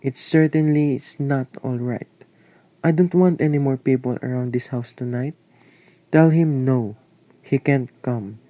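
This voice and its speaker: A man's voice: mid-range voice, a little slow, dry recording, close to an everyday voice. A stern, irritable household father acted by a man in an amateur radio play. His mid-range voice gives curt orders. The recording is dry, dull and lo-fi. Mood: stern, irritated. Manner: curt, commanding.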